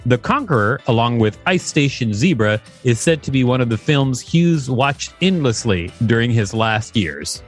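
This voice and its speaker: Brief: male podcast co-host, mid-pitched, reads and riffs with humor, light music bed. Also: animated, normal speed, few pauses. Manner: joking, laughs often. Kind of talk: reading aloud and casual chat.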